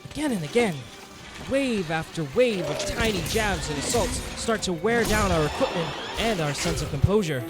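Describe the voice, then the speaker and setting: A man's voice: sits high, lively intonation, normal speed. A man narrating a monster-hunting game in the first person, in a dramatic storytelling manner, as a scripted adventure. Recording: music behind the voice.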